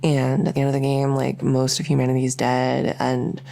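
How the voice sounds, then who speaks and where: A woman's voice: rumbling low, completely flat delivery, moderate pace, gravelly, warm tone. A woman voicing a lonely, socially awkward vampire who rambles enthusiastically in an ASMR audio roleplay. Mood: nerdy enthusiasm. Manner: rambling, enthusiastic info-dumping, many fillers. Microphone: close ASMR microphone.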